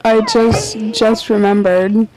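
A woman's voice: medium pitch, level tone, slow pace, over loud music, rough recording. A teenage girl chatting casually and rambling with friends, giggling often, at a desk mic in a small office studio. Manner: giggly, rambling with many likes. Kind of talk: casual chat among friends. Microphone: desk podcast mic with headphones.

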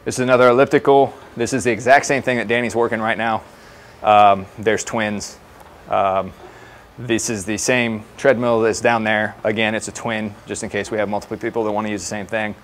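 A man's voice: fairly low pitch, fairly even intonation, brisk. A man in his thirties talking casually and explaining things as a trainer showing colleagues around a facility. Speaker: fire department fitness trainer giving a facility tour. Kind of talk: informal walk-through presentation to colleagues. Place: indoor gym with hard floors. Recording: clear background noise of a gym.

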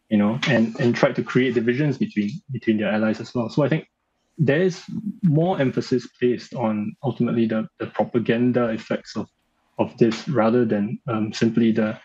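A man in his thirties giving analysis in a news interview, speaking haltingly and repeating phrases as he searches for words. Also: medium pitch, darkish tone, slightly rough. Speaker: analyst guest. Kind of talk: live news interview commentary. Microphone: video call. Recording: slightly dull video-call audio.

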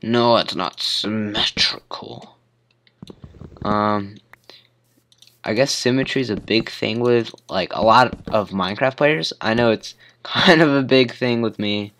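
A teenage boy with a hoarse voice from a cold, rambling casually with plenty of fillers while commenting on his building game. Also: lowish voice, fairly bright tone. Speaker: gamer.

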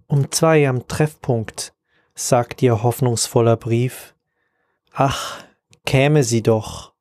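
Very slow man reading poetry, dry. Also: medium pitch, darkish tone, no echo.

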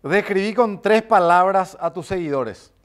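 A man, hosting a quick-fire studio interview with teasing banter, on a lapel mic in a dark studio. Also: sits high. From Paraguay. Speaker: interview host. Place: dark studio set, seated.

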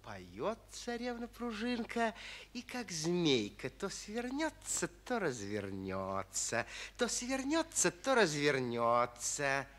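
A man's voice: fairly high pitch, wildly swooping pitch, slow, a bit bright. A man narrating a 1940s children's radio play as a dramatic storyteller.

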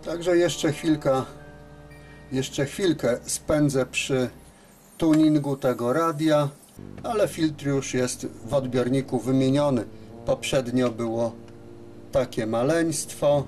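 A man gives an unscripted workshop demonstration as a repair technician, explaining step by step with hesitant pauses. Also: mid-range voice, fairly even intonation, a little mellow, soft background music. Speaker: radio repair technician presenting his work. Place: radio service workshop, indoors at a bench.

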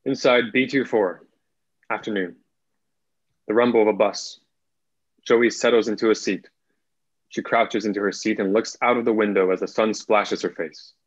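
Low-voiced young man narrating, muffled sound. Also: steady tone, normal speed, long pauses, no room sound. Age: young adult. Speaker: narrator reading stage directions. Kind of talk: scripted narration. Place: indoor room at home, video call. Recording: dull, lo-fi video call.

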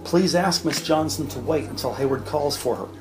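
A man narrating a crime audio drama from a script, measured and descriptive. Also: a little high. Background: music.

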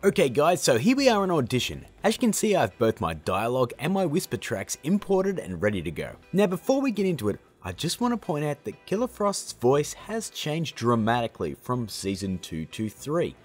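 Animated husky man hosting a tutorial. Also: mid-range voice, normal speed, hardly any pauses, faint music behind. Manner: upbeat, chummy, addressing viewers as guys and gang. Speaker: tutorial host. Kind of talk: casual how-to tutorial narration.

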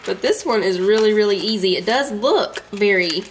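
A woman's voice: mid-range voice, animated, normal speed, slight background noise. A woman in her thirties with a Southern US accent, chatting homily to viewers while cooking in her home kitchen. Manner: chatty, homey, talks to viewers as y'all. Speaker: home cooking vlogger, mother. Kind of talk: casual cooking vlog narration.

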